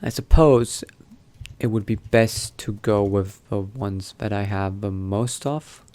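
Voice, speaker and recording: A man doing in-character game commentary, thinking aloud in a musing, unhurried murmur to himself. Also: lowish voice, darkish tone. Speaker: gamer narrating a roleplay playthrough.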